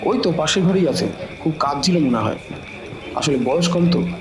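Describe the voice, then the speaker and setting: A man's voice: on the high side, expressive, moderate pace, over music, close to an everyday voice. Echoey, deferential policeman played by a man. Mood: informative. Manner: respectful, explanatory, knowing. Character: deferential, talkative male police officer reporting to superior. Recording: echoey room, clear background noise.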